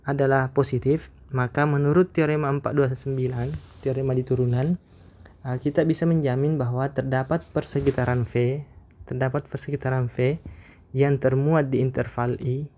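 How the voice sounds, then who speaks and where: A man giving a recorded math lecture, explaining step by step in a measured way and pausing often on fillers. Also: medium pitch, warm tone.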